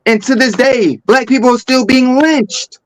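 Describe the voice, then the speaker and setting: A man's voice: very high voice, unhurried, dry recording. A man chatting casually about politics as a panelist, often repeating himself as he makes or backs up a point. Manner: agreeing interjections, repeats himself.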